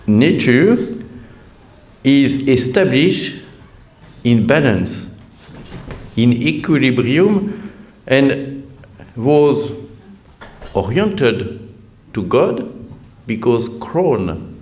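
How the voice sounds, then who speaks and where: A middle-aged priest with a French accent speaks slowly and deliberately, pausing often and restarting his phrases as he lectures. His pitch sits in an ordinary male middle range. His humor is dry and self-deprecating. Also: a little mellow. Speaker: priest giving a conference talk. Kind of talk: lecture-style sermon.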